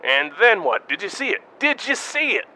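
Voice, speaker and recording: An actor playing an eager, inquisitive radio field reporter describing a scene in an amateur radio drama. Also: male voice, sits high, big pitch swings, moderate pace, sharp tone, heavily acted. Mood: excited. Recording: tinny phone-like line.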